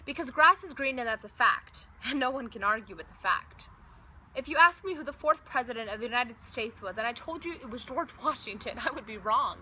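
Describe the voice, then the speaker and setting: Young woman, slam poetry, bright forceful tone, impassioned rhythmic delivery, dramatic pauses, audible background noise. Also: on the high side, animated. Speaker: slam poet.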